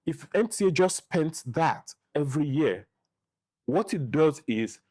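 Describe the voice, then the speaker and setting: A middle-aged man with a Nigerian accent giving opinions in a podcast interview, speaking deliberately and repeating phrases for emphasis. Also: on the high side, animated, a little mellow, no room sound, clean studio sound. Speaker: interview guest, film director and writer.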